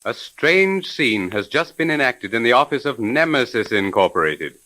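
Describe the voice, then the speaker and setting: A man's voice: medium pitch, very animated, moderate pace, sharp tone, slight background noise. A man working as announcer, giving formal, declamatory dramatic narration for a 1930s radio mystery serial.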